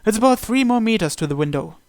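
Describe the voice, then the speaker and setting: Guy with a higher voice reading a story out loud, dramatic, pretty dry close sound. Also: fast talker, no room sound. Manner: expressive, first-person storytelling with occasional stumbles. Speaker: video game player reading a visual novel aloud. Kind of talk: dramatic read-aloud narration.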